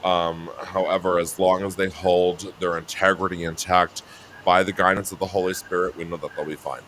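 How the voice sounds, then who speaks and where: A man with a deep voice talking casually as a guest on a chat show, laughing often. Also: level tone, normal speed, slight background noise, poor audio. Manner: laughing, breaks into high shouty voice when mimicking himself. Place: New Mexico home.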